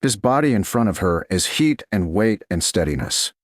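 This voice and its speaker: Husky man narrating romance with dramatic intonation. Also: low-pitched, big pitch swings, normal speed, no echo, studio quality. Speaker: audiobook narrator.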